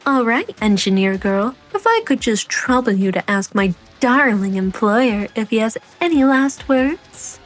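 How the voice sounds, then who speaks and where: A woman's voice: a little high, expressive, a little slow, hardly any pauses, low-quality recording. A woman voicing a smug, mockingly sweet assassin with a teasing, self-assured drawl in a fan-dubbed game drama. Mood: amused menace. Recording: background music under the voice.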